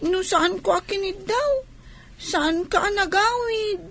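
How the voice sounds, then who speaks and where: Woman voicing a little girl, whiny, very high-pitched, slow, sulky, faint background noise. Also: fairly bright tone, heavily acted. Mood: pleading. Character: small young daughter, clingy and sulky.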